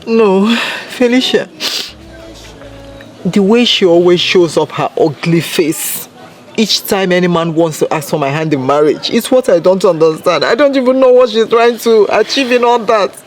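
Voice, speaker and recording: A woman's voice: medium pitch, normal speed, soft background music, lightly acted. An actress with a Nigerian accent playing a bitter, self-pitying single woman in a melodramatic film, lamenting in repeated wails. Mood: bitter, aggrieved. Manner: wailing, repetitive lamenting.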